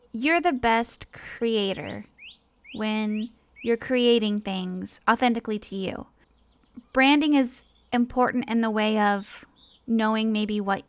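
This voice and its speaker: A woman's voice: on the high side, no echo. A woman giving a casual, rambling personal monologue as an online creator, speaking informally and earnestly, often hesitating and interrupting herself. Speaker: online animator and video creator.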